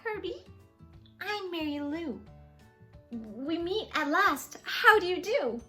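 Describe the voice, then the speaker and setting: Woman playing a lovestruck girl slug, storybook, sweet, yearning, sing-song verse, high and swooping. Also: animated, slow pace, sharp tone, faint music behind, full character voice. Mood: joyful, tender.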